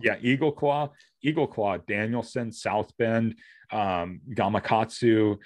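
A man's voice: fairly low pitch, slow pace, dry recording. An American man co-hosting a casual podcast, explaining gear in a rambling, detailed way. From US Pacific Northwest. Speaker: fishing podcast co-host. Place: indoor room, on a video call.

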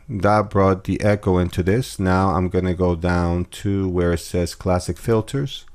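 A man calmly walking through audio editing steps in a screen-recorded tutorial, with casual fillers. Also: low-pitched. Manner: relaxed step-by-step explaining with fillers. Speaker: music production tutor.

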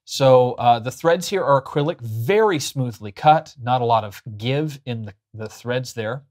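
A man's voice: mid-range voice, a little slow, darkish tone. Chatty man reviewing in a mid-range voice. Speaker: host of a pen review show. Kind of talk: casual product review to camera. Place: small furnished room indoors. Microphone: lapel mic.

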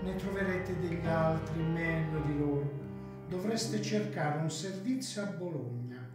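Man playing a high-pitched, teasing hotel waiter. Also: unhurried, lightly acted. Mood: chatty, confiding. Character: chatty, teasing hotel waiter, male adult. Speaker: actor in a radio drama. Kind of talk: radio drama acting. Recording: echoey room, faint guitar music behind.

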